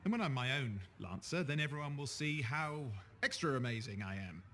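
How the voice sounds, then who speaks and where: A man's voice: mid-range voice, animated, brisk, sharp tone, slight background noise. A man playing a chatty, boastful but insecure young sidekick in a sci-fi video game, playful and self-mocking. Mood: cheeky, boastful. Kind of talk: acted game dialogue.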